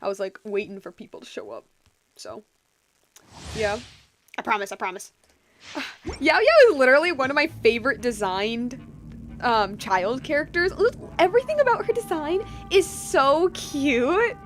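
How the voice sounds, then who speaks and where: A woman's voice: high voice, big pitch swings, moderate pace, fairly bright tone. A young woman chatting casually on a live game stream, rambling and gushing in an informal way. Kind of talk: casual live stream chat over gameplay. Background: game music.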